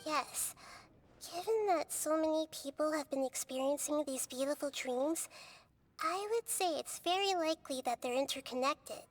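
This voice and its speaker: A woman voicing a gentle young goddess who speaks in a soft, measured, thoughtful way. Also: high voice, close to an everyday voice. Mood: explaining. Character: gentle young female god, calm and wise.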